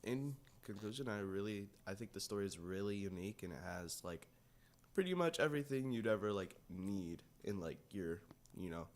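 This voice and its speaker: A man's voice: on the low side, lively intonation, moderate pace. A young man co-hosting a podcast, chatting casually and informally. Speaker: podcast co-host.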